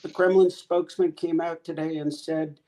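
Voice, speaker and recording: A man joining a political chat, often groping for his words and starting over. His voice is mellow and dark, pitched somewhat high for a man. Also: flat delivery. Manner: halting, loses words and restarts.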